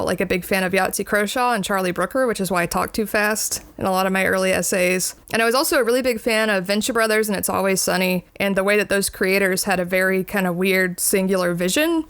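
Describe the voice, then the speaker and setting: Woman video essayist rattling through answers fast, laughs a bit, dead dry sound. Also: mid-range voice, a bit bright, clean tone, no room sound. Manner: fast-talking, candid, laughs between points. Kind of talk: casual interview answers.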